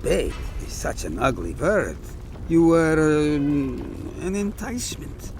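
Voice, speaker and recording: A seasoned, middle-aged starship captain acted by a man with a creaky, mid-pitched voice. He talks with dry sarcasm in a science fiction audio drama, music playing behind him. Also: big pitch swings, slow pace, heavily acted. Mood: dryly amused. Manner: dry, sardonic.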